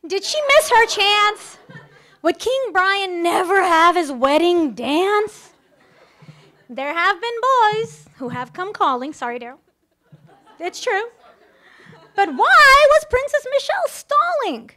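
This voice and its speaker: A woman's voice: very high voice, very animated, takes it slowly, bright, forceful tone. A woman giving a wedding speech to guests, partly reading a rhyming storybook in a playful, theatrical way. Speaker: wedding speech giver, friend of the bride. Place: live in front of wedding guests.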